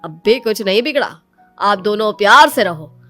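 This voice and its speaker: A woman voicing a polite, wise priest who gently admonishes in a storybook tale. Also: mid-range voice, animated, moderate pace, faint music behind. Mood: calming advice.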